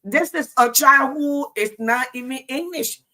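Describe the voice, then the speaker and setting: A woman's voice: high-pitched, unhurried, sharp tone. A woman teacher speaking earnestly in a casual panel talk, often restarting phrases and checking in with "okay?".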